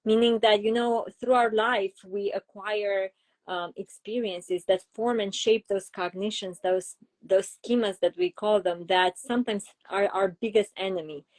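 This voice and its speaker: A woman's voice: mid-range voice, fairly even intonation, measured pace. A middle-aged woman from Romania with a Romanian accent, a child psychologist being interviewed, explaining ideas through examples with frequent fillers. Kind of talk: interview conversation.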